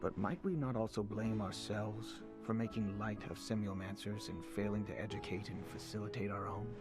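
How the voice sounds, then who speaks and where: An actor playing an earnest, dutiful young prince with formal, archaic courtly speech in a video game cutscene. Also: male voice, fairly low pitch, fairly even intonation, normal speed, music in the background, lightly acted. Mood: bitter, somber.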